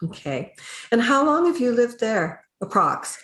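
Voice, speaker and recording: A woman hosting a podcast interview, speaking in a friendly, measured way with small hesitations. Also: fairly high pitch, big pitch swings, a bit bright, roomy sound. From Canada. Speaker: podcast host, HR consultant.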